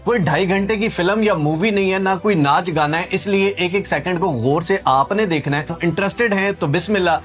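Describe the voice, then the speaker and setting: Male tutorial host, Pakistani accent, high-pitched voice, informal and emphatic, lots of rhetorical questions. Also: brisk, few pauses, a bit bright, faint music behind. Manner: emphatic, repetitive, full of rhetorical questions and check-ins. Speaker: online skills tutor and video host. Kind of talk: informal tutorial narration, walking viewers through websites.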